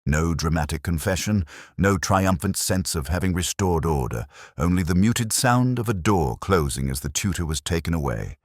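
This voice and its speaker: A man voicing a weary, melancholy older police detective in a sombre, reflective, measured first-person mystery narration. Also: extremely low pitch, breathy edge, no echo, studio quality, full character voice.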